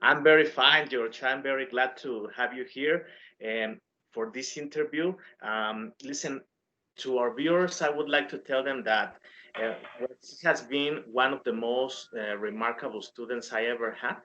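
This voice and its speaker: A man, an English teacher, interviewing his former student in a formal, halting way with frequent pauses. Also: medium pitch, sharp tone, lo-fi sound. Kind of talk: hosting an interview.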